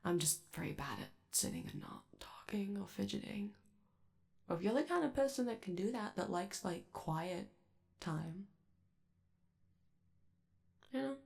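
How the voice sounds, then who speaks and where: A woman's voice: medium pitch, big pitch swings, measured pace, creaky, long pauses, darkish tone. A woman playing a friendly, nervous robot alien crewmate in a comforting ASMR roleplay, rambling and hesitant as they reassure the listener. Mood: shy, rambling.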